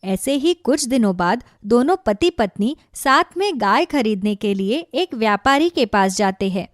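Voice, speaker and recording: Woman, cartoon story narrator, scripted delivery, higher-pitched, very dry sound. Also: lively intonation, unhurried, dry recording.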